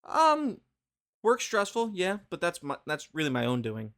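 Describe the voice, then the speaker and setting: A man's voice: sits high, huge pitch swings, a little slow, a bit bright. A man hosting a podcast in casual chat, joking and rambling with lots of fillers and restarts. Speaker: podcast host.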